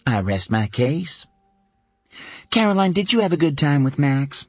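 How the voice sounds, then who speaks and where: A man voicing a sharp-witted woman who teases her best friend with dry, quick banter. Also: fairly high pitch, sing-song, no room sound, voice pushed up, full character voice. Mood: teasing.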